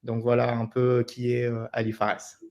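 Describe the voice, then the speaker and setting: Man, 30s, from Senegal, interview guest, monotone, mid pitch, hesitant fillers. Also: normal speed. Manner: hesitant, flat delivery with fillers. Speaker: guest, company director. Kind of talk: interview answers.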